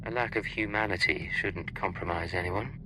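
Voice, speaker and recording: An actor playing a cool imperial secret agent in a video game, terse, dry and deadpan. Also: male voice, low-pitched, normal speed, a little hiss or hum, low-quality recording.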